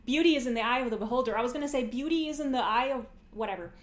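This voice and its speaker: A woman's voice: a little high, racing. A woman in her forties hosting a podcast, talking casually and philosophically, often rephrasing mid-thought in a rambling way. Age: 40s. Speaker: podcast host. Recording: roomy, echoey sound.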